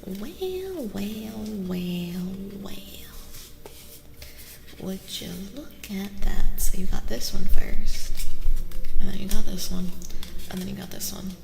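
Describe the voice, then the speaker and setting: A woman hosting an ASMR tarot livestream, speaking softly and gently, often dropping into a breathy whisper and repeating phrases soothingly. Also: mid-range voice, expressive, takes it slowly, pauses often. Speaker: livestream host, tarot reader. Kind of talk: soft-spoken ASMR livestream chat with tarot reading. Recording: reverberant echo with music underneath. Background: music.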